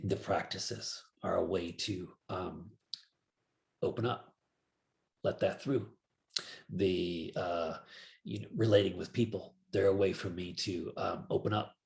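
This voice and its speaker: A man in a reflective group conversation, speaking slowly and hesitantly with many pauses and ums. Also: deep voice, vocal fry, darkish tone.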